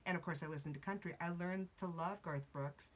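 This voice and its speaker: A woman's voice: on the low side, a little fast, slight background noise. A woman in her forties hosting a podcast, chatting casually, laughing often and wandering off into tangents. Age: 40s. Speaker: podcast host. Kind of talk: casual chat. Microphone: podcast mic, video recorded.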